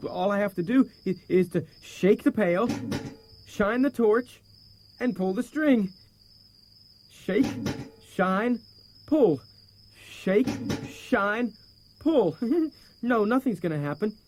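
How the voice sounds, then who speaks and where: A man's voice: high-pitched, a little hiss or hum. A man voicing a small young male cat in an audiobook, bragging yet jittery and prone to stammer. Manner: bragging, stammers when nervous. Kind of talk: audiobook character acting. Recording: cassette transfer with music behind.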